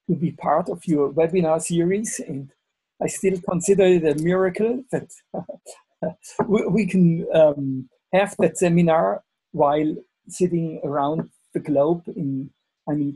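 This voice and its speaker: Hesitant German-accented man, slow and dark. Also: on the high side, breathy edge, rough recording. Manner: halting, many fillers and restarts. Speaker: webinar speaker, university lecturer. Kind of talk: hesitant presentation on a video call.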